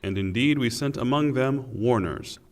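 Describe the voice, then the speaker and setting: Male reader of translated scripture, mid-pitched, solemn and deliberate phrasing, set in long hall reverb. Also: darkish tone.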